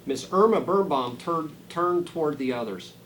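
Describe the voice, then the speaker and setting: A man reading a children's storybook aloud dramatically, dropping into quieter asides to his listeners. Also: a little high, expressive, moderate pace, a little hiss or hum, poor audio. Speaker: school superintendent reading a picture book aloud.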